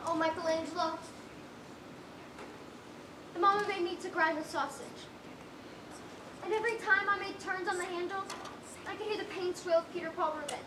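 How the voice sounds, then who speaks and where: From across an echoey stage comes a woman playing a very high-pitched little girl. She is earnest and imaginative, with quaint, childlike phrasing, in a school play. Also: fairly even intonation, moderate pace, pauses often. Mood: dreamy, confiding. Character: earnest, imaginative little orphan girl who talks to trees. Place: stage in a large room, distant microphone. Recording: noisy, echoey stage recording from far off.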